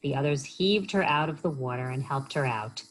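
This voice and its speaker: A woman reading a children's story aloud as a library storyteller, expressive and often pausing to put questions to young listeners. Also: extremely low pitch, poor audio.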